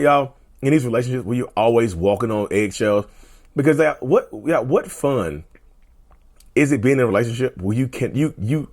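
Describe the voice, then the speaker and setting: A man hosting a casual mental health vlog, talking straight to viewers in a loose, repetitive way, his voice going a little hoarse. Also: mid-range voice. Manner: conversational, repeats himself, often addresses viewers as "y'all". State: voice getting hoarse. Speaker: mental health video host. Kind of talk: casual direct-to-camera talk.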